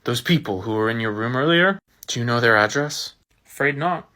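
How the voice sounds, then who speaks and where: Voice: mid-range voice, lively intonation, moderate pace, pushed and bright, pitched below the performer's own voice. A voice actor playing an earnest, awkward young man who gets flustered.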